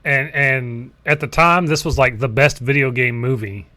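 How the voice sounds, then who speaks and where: A man's voice: medium pitch, normal speed, sharp tone, no room sound. A man co-hosting a gaming show, talking informally and loosely as he chats about old trailers. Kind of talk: casual chat, reacting to old film trailers.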